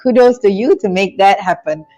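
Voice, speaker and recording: A woman's voice: medium pitch, normal speed, soft background music. A middle-aged woman, telling her life story as a guest on a video-call interview with a lapel mic in a small room. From Singapore. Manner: animated storytelling with hand gestures. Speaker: guest, business school founder.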